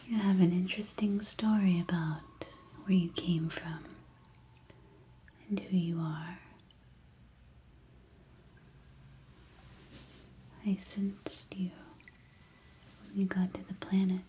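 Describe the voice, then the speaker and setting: Girl doing a mysterious psychic alien in a cave, asmr roleplay, soft dark breathy whisper up close, bit of background noise. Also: lowish voice, steady tone, lightly acted. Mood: mysterious, probing. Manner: slow, deliberate, close-up delivery. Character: mysterious telepathic alien cave dweller, commanding yet soothing woman. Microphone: close binaural microphone.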